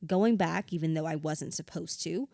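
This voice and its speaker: Grieving widow for therapy audio drama: lower female register, reflective, candid, wry, dry studio sound. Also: moderate pace, vocal fry, dark tone, dry recording. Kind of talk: audio drama acting, conversational.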